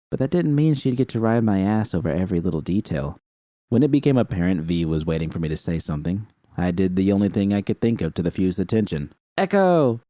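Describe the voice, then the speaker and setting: A man reads a first-person story with steady, even pacing. His voice is deep and mellow, recorded close and dry with no room sound. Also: no echo. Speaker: audiobook reader. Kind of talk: first-person fanfiction narration.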